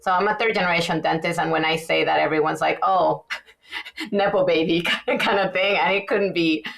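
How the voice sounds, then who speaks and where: A woman from Venezuela chats as a podcast guest, her low voice gravelly with fry. She talks in long, animated runs full of fillers and restarts. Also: normal speed. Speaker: guest dentist. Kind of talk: casual podcast interview.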